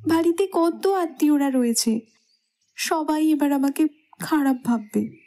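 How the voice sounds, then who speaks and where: A young woman playing a shy, anxious bride who protests gently and hesitantly in a romantic audio story. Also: high-pitched, measured pace. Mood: flustered, worried. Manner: coy, hesitant, softly pouting.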